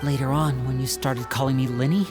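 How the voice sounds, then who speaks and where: A smooth young magician with a medium, even voice, played by a man. He speaks in a probing way in a video game. Music plays clearly behind him. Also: medium pitch, a little fast, lightly acted. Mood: tender.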